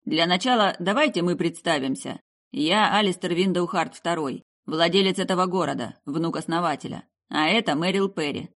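A woman voicing a polite, erudite male necromancer in an audiobook, speaking in a measured, courteous way. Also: lowish voice, fairly bright tone. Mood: polite, explanatory.

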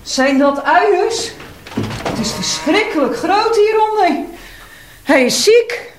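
A woman's voice: very high voice, animated, slow pace, full character voice. A woman playing a fretful, exclaiming mayor's wife in a Dutch radio play, anxious and pleading. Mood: panicky, pleading. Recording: echoey room, clicky noisy radio recording.

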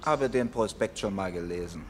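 An actor playing a dry, impatient police inspector who answers curtly in a vintage German radio crime play. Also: male voice, sits low, animated. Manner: dry, curt, drawn-out. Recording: faint ambient background noise.

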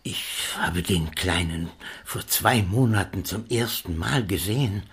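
An oily Victorian pawnbroker in a radio play. His voice is extremely hoarse-edged and fairly low. He talks with smarmy politeness and a callous, sly streak. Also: measured pace, fairly bright tone, heavily acted. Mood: sly, haggling. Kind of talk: German radio drama acting.

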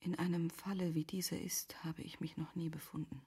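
A sorrowful, composed noblewoman in a radio drama. Her voice is lowish and extremely breathy, often close to a whisper, as she weighs her guilt in solemn, formal language. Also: little pitch movement, warm tone. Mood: resigned, composed. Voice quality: very husky, breathy, half-whispered. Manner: solemn, measured, formal literary diction. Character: grieving, resigned noblewoman Charlotte, self-reproaching and composed. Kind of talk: literary radio drama acting.

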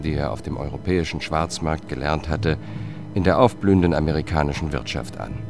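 Radio drama narrator: a determined male investigator, low-pitched, measured and grave, with faint music underneath. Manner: measured, matter-of-fact, grave. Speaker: first-person narrator.

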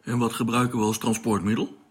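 An actor playing a wry, questioning young radio operator aboard a spaceship in a radio play, speaking colloquially. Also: male voice, mid-range voice, flat delivery, fast, close to an everyday voice. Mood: wry, puzzled.

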